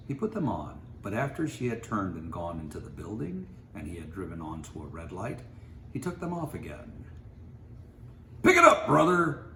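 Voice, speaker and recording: A man reads a story in an expressive narrator's style. His voice is deep and moves around animatedly. The room is echoey. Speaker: story reader. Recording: echoey room, faint background noise.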